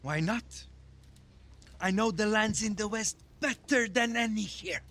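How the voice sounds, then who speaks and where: An actor playing a commanding, far-travelled Viking jarl in a video game, speaking with measured authority. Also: male voice, sits high, fairly bright tone. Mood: persuasive. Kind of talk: cinematic video game acting.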